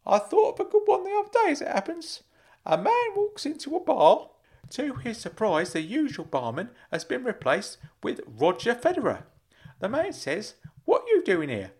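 A man voicing a kindly, fussy older uncle in an audiobook story, earnest and excitable. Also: medium pitch, moderate pace, breathy edge, voice pushed up, heavily acted. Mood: cheerful joking. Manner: excitable, earnest, swooping delivery. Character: kindly older uncle, fussy, caring, fond of dad jokes.

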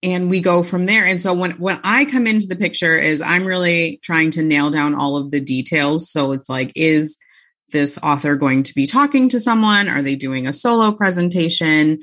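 A woman's voice: low-pitched, moderate pace, a bit bright. A woman chatting casually as an interview guest, informal and giggly in manner. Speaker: guest, bookseller and author.

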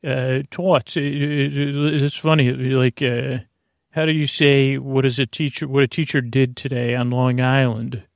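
Man, creaky gravelly voice, podcast host, lulling bedtime ramble, halting and meandering, dry close sound. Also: mid-range voice, level tone, dry recording. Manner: meandering, halting, lulling delivery with frequent digressions.

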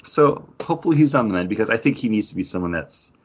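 Male podcast host, raspy and conversational, slightly dull recording, casual geek talk. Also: on the low side, lively intonation, fast. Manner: opinionated, conversational. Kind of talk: casual geek-news discussion.

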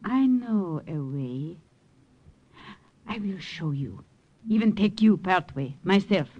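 An actress playing an older widow in a 1940s radio drama, gentle and motherly, speaking English. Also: lowish voice, lively intonation, slow pace, dull audio. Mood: warm, earnest. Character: older French widow, kindly and protective.

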